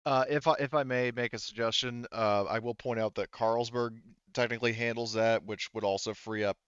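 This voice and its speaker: A man's voice: medium pitch, fairly even intonation, fairly quick, a bit bright, clear, resonant tone, no room sound, highs cut off. A man running a tabletop roleplaying game as game master, explaining and narrating in a hesitant, rambling way.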